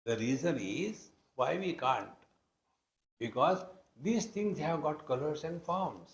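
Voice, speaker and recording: A man's voice: a little high, animated, slow pace. A man with an Indian accent giving a spiritual discourse as a teacher, explaining scripture in a conversational yet emphatic way. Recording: roomy, echoing hall sound.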